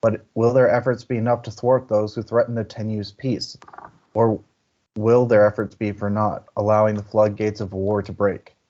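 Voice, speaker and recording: Male podcast co-host, somewhat low-pitched, informal book-club delivery, mildly lo-fi sound. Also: flat delivery, moderate pace, mellow. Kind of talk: casual book-chat livestream hosting.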